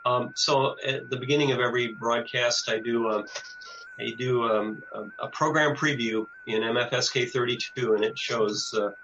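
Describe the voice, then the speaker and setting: An older American man giving an informal presentation and answering questions in a halting, hesitant way over a video call. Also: medium pitch, noisy background. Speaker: presenter, radio show producer. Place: small home office with bookshelves, indoors. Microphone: computer mic on a video call. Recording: dull lo-fi video call audio.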